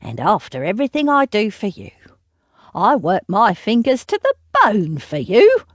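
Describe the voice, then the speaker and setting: A woman's voice: sing-song, unhurried, no room sound, studio quality. A woman voicing a cruel, self-pitying, volatile middle-aged mother who berates and pleads by turns. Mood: berating. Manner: shrill, berating, swinging to sobbing pleas.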